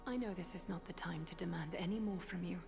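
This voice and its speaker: A woman with a British accent playing a composed, regal leader in a fantasy video game, hushed and stately. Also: fairly low pitch, fast, gravelly. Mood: resigned, composed. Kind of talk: scripted video game voice acting. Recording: echoing game audio over music.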